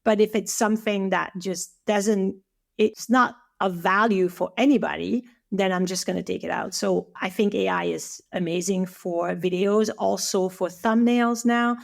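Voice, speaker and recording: A woman with a French accent, English her second language, talks eagerly as a podcast guest, restarting her sentences often. Her voice has a gravelly fry to it, at a middle pitch. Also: fairly even intonation, unhurried. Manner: eager, many restarts and fillers. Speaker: guest, Chinese medicine teacher. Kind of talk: podcast interview.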